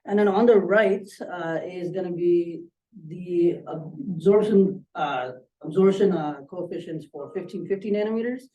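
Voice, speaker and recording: A man with a fairly high, somewhat mellow voice gives an informal technical presentation. His delivery is loose and halting, and he is recorded at some distance from the microphone. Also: muffled recording. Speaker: student presenter.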